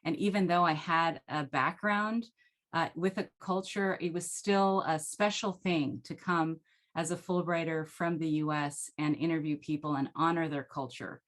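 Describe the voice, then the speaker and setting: Woman, middle-aged, low pitch, chatty storytelling, video call from home. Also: steady tone, moderate pace. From United States. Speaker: panelist, former Fulbright scholar. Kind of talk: telling her story on a panel. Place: home room, indoors.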